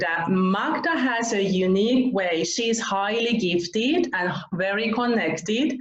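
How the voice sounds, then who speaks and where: A woman from Finland hosting an online interview, gushing with praise and laughing softly as she talks. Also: mid-range voice. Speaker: interview host. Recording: roomy, dull video call audio.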